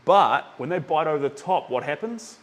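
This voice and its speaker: A man's voice: fairly high pitch, lively intonation, moderate pace. A young man from New Zealand with a Kiwi accent, chatting casually with viewers while he paints, rambling with lots of ums. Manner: chatty, rambling with many ums, reads out chat names.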